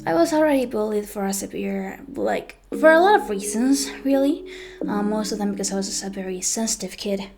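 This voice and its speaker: High-voiced young woman, hesitant storytelling. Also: fairly quick, music in the background. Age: young adult. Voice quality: high-pitched voice. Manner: hesitant, with frequent ums and self-corrections. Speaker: vlogger. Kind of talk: personal storytime monologue.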